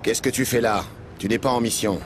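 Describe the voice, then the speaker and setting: An actor voicing a gruff, suspicious alien soldier in a video game, speaking bluntly. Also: male voice, mid-range voice, steady tone, brisk, a little hiss or hum, close to an everyday voice. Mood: wary, surprised. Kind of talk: dubbed video game cutscene acting.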